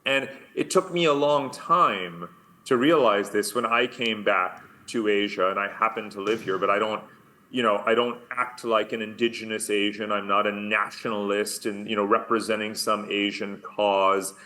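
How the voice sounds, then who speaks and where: A man's voice: fairly low pitch, fairly even intonation, moderate pace, sharp tone. A middle-aged man with a New York accent, speaking by video call at a conference, stressing his points with repeated "right?" and "you know". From United States. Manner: emphatic, repetitive, says "right?" and "you know" often. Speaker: keynote speaker.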